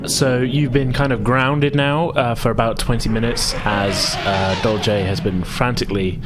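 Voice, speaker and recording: A man acting as game master, improvising descriptive narration in a halting way. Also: medium pitch, fairly even intonation. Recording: music behind the voice.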